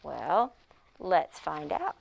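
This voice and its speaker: A woman who teaches pre-K, demonstrating an interactive read-aloud in an expressive manner, as if speaking to young children. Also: low voice, drawn-out words, gravelly, low-quality recording.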